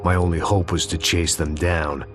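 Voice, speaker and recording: Very deep, weary American noir antihero voiced by a man. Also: moderate pace, natural voice. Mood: grim. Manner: world-weary, sardonic, deadpan. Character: weary, self-loathing, hard-bitten middle-aged ex-cop antihero. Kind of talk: scripted noir voice-over narration. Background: loud music.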